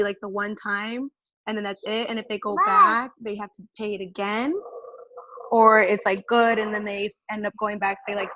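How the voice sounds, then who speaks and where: A woman's voice: mid-range voice, highs cut off, noisy background. A woman on an online call asking a team question, speaking hesitantly with many restarts and "um"s over a video call.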